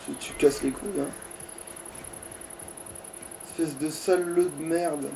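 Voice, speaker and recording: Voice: mid-range voice, fairly even intonation, a little slow, warm tone, far from the mic, slight background noise, low-quality recording. A gamer giving casual, grumbling, sweary commentary while playing a video game.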